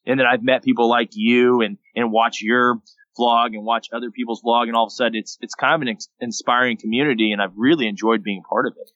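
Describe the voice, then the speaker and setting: A man in his thirties from Texas, a guest telling his story in a relaxed, rambling way on a podcast. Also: mid-range voice, fast talker, no echo. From San Antonio, Texas, USA. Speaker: guest, daily vlogger and entrepreneur. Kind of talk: casual podcast interview. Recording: dull lo-fi dry sound.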